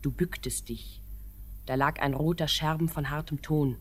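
Woman acting a young sweetheart in an old radio play, lowish voice, earnest and pleading. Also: moderate pace.

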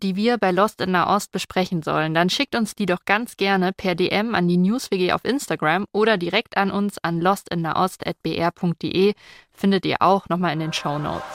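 A woman, hosting a news podcast and speaking in a conversational interview style. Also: lowish voice. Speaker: podcast host.

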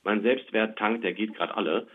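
A man's voice: lowish voice, steady tone, normal speed, a little hiss or hum. A man speaking over the telephone as an expert guest, explaining things simply and plainly with little spoken examples. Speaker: child psychiatrist, expert guest. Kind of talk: explanatory interview over the phone. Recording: tinny, narrow phone line.

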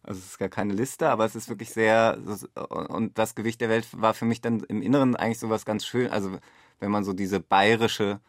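A man's voice: fairly low pitch, steady tone, a little fast, no room sound, clean studio sound. A man speaking as a director in an interview, tentatively thinking aloud. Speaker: radio play director. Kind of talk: reflective interview talk.

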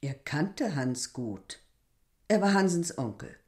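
A woman's voice: low voice, animated, takes it slowly, darkish tone, slightly rough, full character voice. A proud village landlady in a radio drama, middle-aged and embittered. She argues with emphasis and scorn, insisting on every point. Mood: guarded. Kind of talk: literary radio drama acting.

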